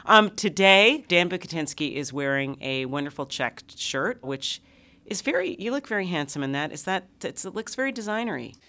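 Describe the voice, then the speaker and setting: A woman's voice: on the low side, animated, normal speed, pushed and bright. A woman hosting a podcast, nasal from a cold, bubbly and gushing with superlatives. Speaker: podcast host. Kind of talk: casual podcast chat.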